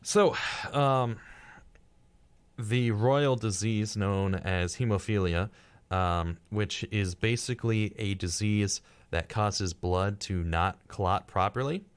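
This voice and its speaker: Man, low-pitched voice, podcast co-host, casual fact-reading discussion, dry dismissive delivery, close dry recording. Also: slow pace, clean tone, no echo.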